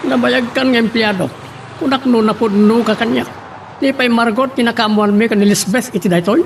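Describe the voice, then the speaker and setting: A man's voice: extremely high pitch, normal speed. A man playing a stern, scheming wealthy boss who presses and commands in an Ilocano audio drama, with an Ilocano accent. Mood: stern, pressing. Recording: clear background hiss and noise.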